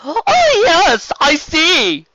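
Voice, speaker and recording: A theatrical storybook sea serpent duke, pitched far up and very animated. He is gushing, polite and grand, with a bright, forceful tone. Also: very slow, no room sound, muffled recording, exaggerated voice. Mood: gracious, effusive. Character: flamboyant, courtly, dramatic male sea serpent duke.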